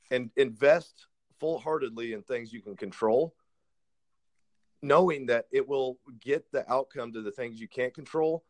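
An earnest man in his thirties talks through his work in a relaxed, casual exchange. He chuckles at himself, then settles into sincere advice, with a voice of ordinary middle pitch. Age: 30s. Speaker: realtor. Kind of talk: casual podcast conversation.

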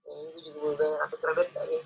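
A man's voice: on the high side, monotone, fast, vocal fry, breathy edge. A man with a Jakarta Indonesian accent chatting casually over a phone line. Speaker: friend receiving a prank call.

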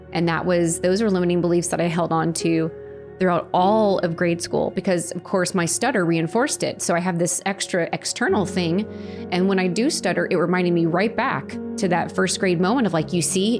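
A woman giving an earnest, reflective personal testimony about her faith, pausing and restarting as she speaks. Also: on the low side, steady tone, over music. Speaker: interviewee sharing her faith story.

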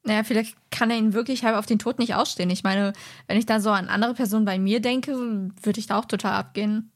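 A woman's voice: mid-range voice, fairly even intonation, fast. A woman chatting casually as a podcast co-host. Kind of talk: casual chat.

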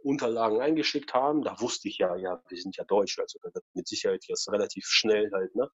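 Interview guest, forties, husky voice, rambling storyteller, muffled recording. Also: male voice, fairly low pitch, a little fast, a bit bright. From former Soviet Union. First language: Russian. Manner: rambling with many fillers and tag questions. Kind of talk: casual personal storytelling.

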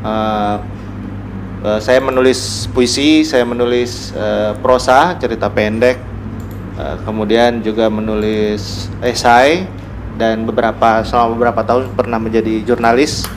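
A man's voice: medium pitch, fairly even intonation, measured pace. A man with a Gorontalo Indonesian accent chatting as an interview guest, explaining reflectively and searching for his words. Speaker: podcast guest, writer. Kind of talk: casual conversational interview. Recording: noticeable room noise and ambience.

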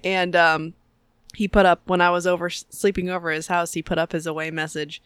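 A woman's voice: fairly low pitch, little pitch movement, brisk, fairly bright tone, clean tone, no room sound, studio quality. A woman in her thirties telling a story casually. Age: 30s.

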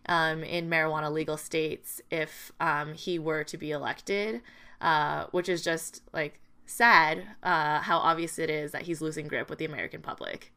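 Woman podcast host, crisp clear voice a bit on the low side, chatty news talk, says like a lot. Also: a bit bright. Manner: conversational, peppered with "like". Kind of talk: casual news commentary.

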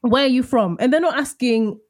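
A woman's voice: on the high side, fairly quick. London-accented woman in casual debate. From born in Malawi, grew up mostly in London. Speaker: podcast panellist.

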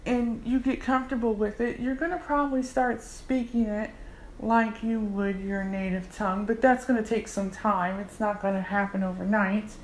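A woman language tutor, giving a casual, unhurried explanation with frequent fillers. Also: mid-range voice, fairly even intonation, slight background noise, low-quality recording. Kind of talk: casual instructional talk.

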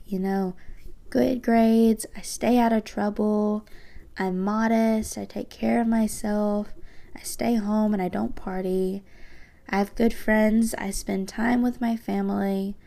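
Low-voiced teenage girl, warm and a bit gravelly, soft hesitant journal reading. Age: teens. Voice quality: low voice for a woman. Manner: soft-spoken, reflective, slightly hesitant. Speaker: podcast host. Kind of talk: personal podcast monologue, reading own journal.

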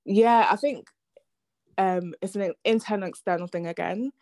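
A woman's voice: mid-range voice, normal speed, warm tone, dry recording. A woman, hosting a podcast and thinking aloud in a casual, reflective conversation. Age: 30s. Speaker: podcast host.

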